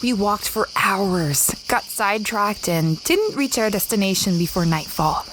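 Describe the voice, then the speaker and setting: A woman playing a kind, curious space explorer who narrates and talks gently and earnestly in an audio drama. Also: medium pitch, animated, measured pace, natural voice. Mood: reflective. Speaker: narrator and lead character. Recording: insect-filled jungle noise behind.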